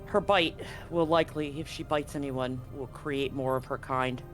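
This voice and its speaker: A man's voice: mid-range voice, steady tone, normal speed, soft background music, lightly acted. A man playing an anxious, grave doctor in a tabletop game, explaining things clinically and hesitantly. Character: anxious, grave male doctor at a remote medical facility.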